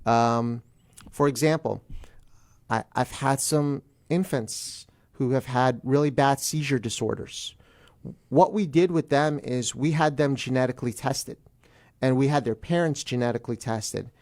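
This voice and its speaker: American man explaining haltingly, mid-pitched voice. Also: darkish tone. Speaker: guest attorney. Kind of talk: radio interview, explaining in plain terms.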